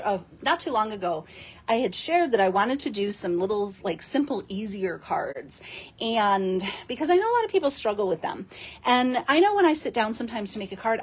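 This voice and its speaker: A hoarse woman with a raspy voice demonstrates card-making in a chatty, giggly live stream. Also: medium pitch. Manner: chatty, giggly, rambling with fillers. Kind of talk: casual live craft demo.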